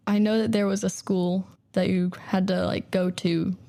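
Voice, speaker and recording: A young woman hosts an interview and keeps her questions brief and friendly. Her voice is mellow and warm at an everyday pitch. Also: little pitch movement, moderate pace. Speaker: host. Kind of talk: interview hosting.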